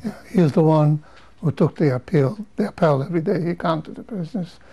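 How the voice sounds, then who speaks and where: An older man from Romania, whose first languages are Hungarian, Romanian, Yiddish and Hebrew, speaks slowly with pauses and restarts. His voice sits a little high but has a dark, mellow tone. He talks in a measured way, as in an interview. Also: slightly rough. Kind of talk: testimony interview.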